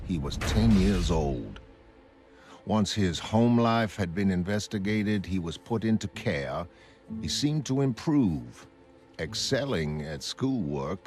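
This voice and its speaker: Man as clinical narrator, low pitch, measured, sober, scripted motion comic narration, music underneath. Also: darkish tone. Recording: music behind the voice.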